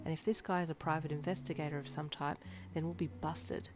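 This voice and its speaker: A woman's voice: low-pitched, steady tone, quick pace, close to an everyday voice. A woman voicing a suspicious winged female hero with a firm, demanding manner in an audio story. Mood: wary thinking. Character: fierce, suspicious winged female hero, commanding and sharp-witted.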